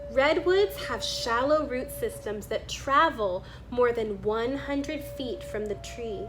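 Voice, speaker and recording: A woman's voice: a little high, animated, noisy background. A woman reading a children's book aloud, stopping to add her own offhand remarks about the pictures. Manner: pauses to remark on the illustrations. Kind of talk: read-aloud with spontaneous comments on pictures.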